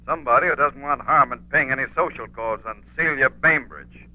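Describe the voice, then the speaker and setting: Sharp, brusque police commissioner. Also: male voice, medium pitch, fairly quick, dry recording, low-quality recording. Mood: stern warning. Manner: brusque, authoritative. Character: gruff, no-nonsense police commissioner, a man. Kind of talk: 1940s radio acting.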